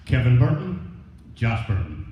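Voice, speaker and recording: A man's voice: medium pitch, sing-song, unhurried. A man giving an informal, plainspoken acceptance speech as a business owner. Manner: plainspoken, colloquial. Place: echoey indoor room at a presentation event. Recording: echoey, dull, noisy room recording.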